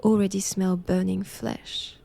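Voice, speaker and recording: A woman with a French accent playing a stern, cold vampire-hunting nun in an intimate audio roleplay, measured and commanding. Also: medium pitch, level tone, gravelly, warm tone. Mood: menacing.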